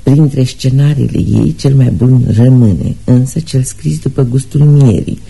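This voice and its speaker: A man reading a scripted literary introduction to a radio play in a measured, formal, scholarly manner. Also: mid-range voice, vocal fry, mellow, slightly rough, poor audio.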